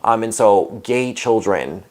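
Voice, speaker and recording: A young man in his twenties from Hawaii, talking in a casual oral history interview, often pausing with fillers and restarting. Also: fairly low pitch, darkish tone. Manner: hesitant, many um fillers and restarts.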